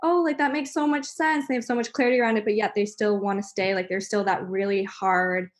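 An upbeat woman chatting as a podcast guest, dropping plenty of fillers and laughing mid-sentence. She speaks from home over a remote call at an everyday middle pitch. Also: fairly even intonation, a little fast. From Canada. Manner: upbeat, many "like" fillers, laughs while talking. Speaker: astrologer guest. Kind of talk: casual podcast chat.

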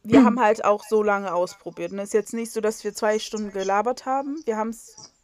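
A woman's voice: mid-range voice, fairly quick, darkish tone, no room sound. A young woman from western Germany hosting a casual podcast over a video call, rambling and self-mocking, with lots of fillers and restarts. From North Rhine-Westphalia, Germany. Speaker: podcast co-host. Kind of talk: casual chat. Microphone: video call recording.

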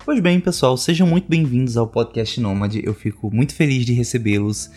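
A man hosts a podcast and greets his guests in an effusive, chatty way. His voice sits at an ordinary middle pitch. Faint music plays behind him. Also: fairly quick, darkish tone. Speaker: podcast host. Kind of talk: podcast interview.

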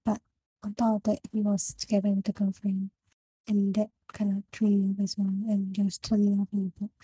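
A young woman with a Singaporean English accent, casually discussing a book with friends and often pausing to find her words. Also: medium pitch, completely flat delivery, dark tone, breathy edge, no room sound, low-quality recording. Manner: halting, searching for words. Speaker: book club member.

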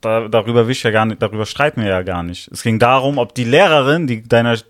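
A man's voice: mid-range voice, fast talker, no room sound, studio quality. Slangy young man arguing his point. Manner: slangy, argumentative, frequent "weißt du, was ich meine". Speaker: podcast co-host. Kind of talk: casual podcast debate.

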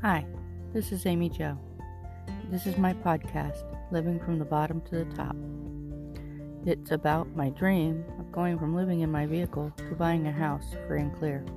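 A woman with a very low, gravelly voice reads a show opening from a prepared script. Her delivery is even and measured. Plucked guitar music plays clearly behind her. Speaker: podcast host.

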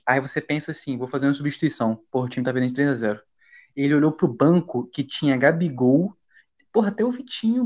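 A man from Brazil hosting a casual football podcast, talking in heavy slang with frequent swearing and rising to loud, agitated rants. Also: a little high. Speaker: podcast host. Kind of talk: heated casual football chat.

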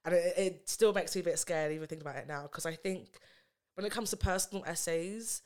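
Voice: mid-range voice, fairly quick. A young adult guest writer talking casually in a podcast chat, conversational and full of fillers. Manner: conversational, chatty with many fillers.

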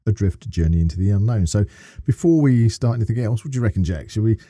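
A man's voice: on the low side, lively intonation, quick pace, warm tone, no echo, clean studio sound. A man co-hosting a podcast in casual chat, with theatrical emphasis. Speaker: podcast co-host.

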